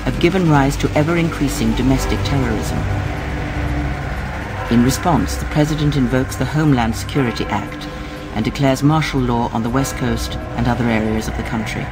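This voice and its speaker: A man's voice: medium pitch, moderate pace. A man reading scripted, dramatic narration as a narrator. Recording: loud music bed under voice. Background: loud music.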